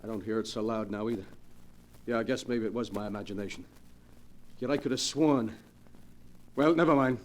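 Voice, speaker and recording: A man's voice: on the low side, a little fast, pauses often, lightly acted. An actor playing an arrogant, menacing gangster boss in 1940s radio crime melodrama, with tough-guy slang and a commanding manner. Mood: edgy, irritable. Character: arrogant, menacing male gangster boasting he cannot be killed. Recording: noisy vintage 1940s radio recording.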